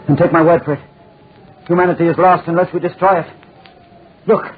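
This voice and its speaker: A man's voice: fairly high pitch, fairly even intonation, brisk, husky. An actor playing a tormented, paranoid old man in a melodramatic 1940s radio drama style, repeating himself desperately. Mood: frantic, terrified. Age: older. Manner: fraught, melodramatic, repeats phrases. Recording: scratchy, noisy, tinny 1940s radio recording.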